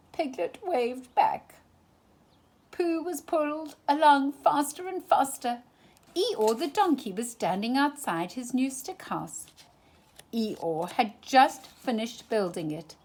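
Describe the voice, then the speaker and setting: Husky, slow storybook-reading woman. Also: on the high side. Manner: expressive, animated read-aloud. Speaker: storytime reader. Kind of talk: reading a children's picture book aloud.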